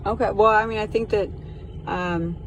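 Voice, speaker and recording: A woman speaking hesitantly and haltingly as a caller explaining a situation on a phone call. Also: medium pitch, fairly even intonation. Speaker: caller reporting to sheriff's department. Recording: noisy phone call, car and traffic noise.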